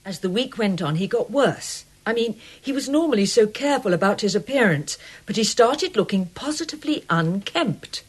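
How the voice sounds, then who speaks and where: An actress playing a chatty young museum clerk in a radio drama, with an eager manner. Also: female voice, medium pitch, animated, normal speed, hardly any pauses, husky.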